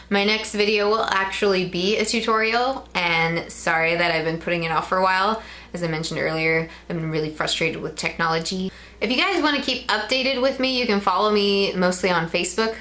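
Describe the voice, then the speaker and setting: A woman's voice: medium pitch, a little fast, bright, forceful tone. A woman in her twenties with a Midwestern American accent, chatting casually to camera in a rambling way with frequent ums. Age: 20s. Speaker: vlogger.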